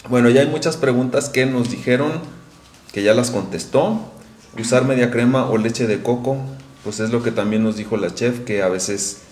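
A man's voice: mid-range voice, moderate pace, a little mellow. A man co-hosting a live cooking class, chatty as he reads viewer questions and promotes the channel. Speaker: livestream co-host reading viewer comments. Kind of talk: casual live stream hosting. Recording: echoey kitchen livestream.

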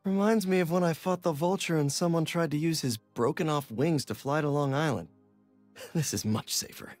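An actor playing an earnest, self-deprecating young superhero in a video game, casual and wry. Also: male voice, on the high side, moderate pace, darkish tone, faint music behind, lightly acted. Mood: amused. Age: young adult.

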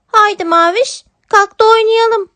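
A woman voicing an eager, helpful young kitten in a children's storybook, with an excitable childlike delivery. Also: very high voice, unhurried, no echo, voice pushed up, heavily acted.

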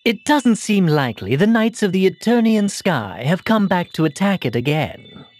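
A woman's voice: fairly low pitch, big pitch swings, moderate pace, a little mellow, soft background music. A woman playing a composed, level-headed young woman in a fantasy video game, with a dry, matter-of-fact manner. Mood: reasoning.

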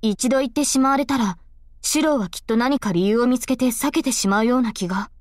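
A woman voicing an earnest young female knight in a visual novel, speaking politely and formally with hesitant pauses. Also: on the high side, darkish tone, dry recording, clean studio sound, close to an everyday voice. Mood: shy, pleading.

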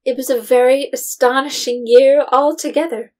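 A woman's voice: sits high, fairly even intonation, moderate pace, darkish tone. A woman narrating a period story from a script, as in an audio drama.

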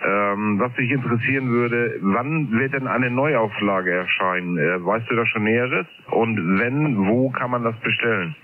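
A man's voice: medium pitch, measured pace, few pauses. A man chatting casually as an amateur radio operator, heard through a shortwave radio transmission. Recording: narrow, noisy shortwave radio reception.

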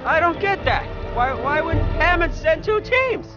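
A man's voice: very high voice, sing-song, a little slow, exaggerated voice. An actor playing a puzzled everyman technician on an expedition in a video game. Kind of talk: video game cutscene acting. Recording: dull audio, loud music behind.

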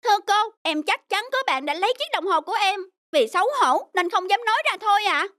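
A woman's voice: extremely high pitch, lively intonation, quick pace, fairly bright tone, no echo, clean studio sound. A woman voicing a proud, hasty little schoolboy in a Vietnamese cartoon, speaking in an emphatic, indignant way. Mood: accusing.

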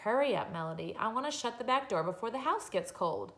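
A woman voicing a busy, sensible mother in a storybook, brisk and mildly exasperated yet patient. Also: a little high, lively intonation, a bit bright, voice pushed up.

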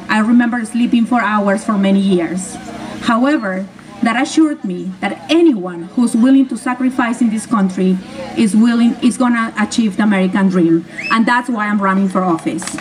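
A woman's voice: fairly high pitch, background noise. Peruvian-born woman speaking at an outdoor rally. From Peru. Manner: earnest, emphatic speech. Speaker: political candidate. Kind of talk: rally speech. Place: outdoor stage in a park. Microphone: podium mic recorded from a distant camera. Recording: distant outdoor PA sound.

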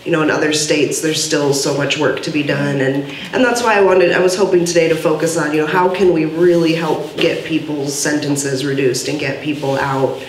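A woman's voice: deep voice, normal speed, few pauses. Earnest woman moderating a panel. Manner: earnest, filler-heavy. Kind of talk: panel discussion, taking audience questions. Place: gallery event room, indoors. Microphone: podium mic from a far camera. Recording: roomy, distant, faint hiss.

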